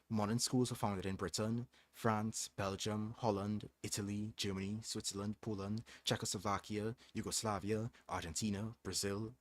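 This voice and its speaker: A man's voice: fairly low pitch, dry recording. A young man hosting a livestream, reading from a book aloud and chatting in a thoughtful, hesitant way with frequent restarts. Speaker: livestream host.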